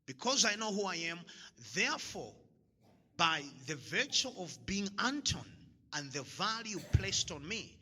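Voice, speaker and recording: A man's voice: high-pitched, very animated, a little slow, pushed and bright. A man from Zambia giving a motivational talk to an audience, emphatic and repeating his key lines, often laughing at his own jokes. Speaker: motivational speaker. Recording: long hall reverb.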